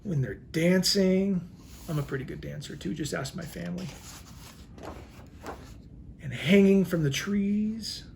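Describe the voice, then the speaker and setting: A man's voice: high-pitched, measured pace, gravelly, pauses often, a little mellow, a little hiss or hum. An American man, a police chief, reads a children's picture book aloud expressively, with casual asides.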